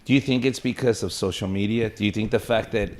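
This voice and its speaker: A man's voice: lowish voice, steady tone, fast talker, a little hiss or hum. A man hosting a podcast interview, asking questions and rephrasing his guest's answers. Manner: prompting, rephrases the guest's words. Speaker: podcast host. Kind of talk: casual interview.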